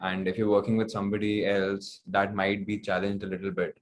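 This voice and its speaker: A young man speaking reflectively and haltingly as a guest in a casual interview. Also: lowish voice, completely flat delivery, a little hiss or hum. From New Delhi, India. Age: young adult. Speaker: interview guest, singer-songwriter.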